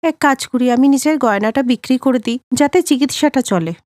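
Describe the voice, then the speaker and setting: A woman's voice: high-pitched, moderate pace, a little mellow, no echo, clean studio sound, close to an everyday voice. A woman voicing a devoted, determined young village wife and mother in a cartoon story. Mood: earnest, resolute.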